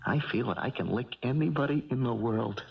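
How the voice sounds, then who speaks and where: An actor as Bobby Barlow, gravelly cocky young boxer. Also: male voice, mid-range voice, a little fast, very noisy, lightly acted. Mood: cocky, eager. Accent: American. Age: young adult. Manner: casual, confident, colloquial. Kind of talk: 1970s radio drama acting. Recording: dull 1970s radio broadcast.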